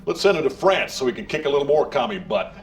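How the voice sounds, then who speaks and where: An actor playing a brash, hawkish American leader in a video game cutscene, breezy and swaggering, with an American accent. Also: male voice, medium pitch, sing-song, quick pace, recorded from a distance, natural voice. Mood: breezy, pleased. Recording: off-mic with faint background noise.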